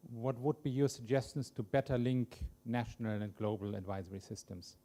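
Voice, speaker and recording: Very mellow man asking questions. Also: medium pitch, flat delivery, moderate pace. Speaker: audience questioner. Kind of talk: concise questions from the floor.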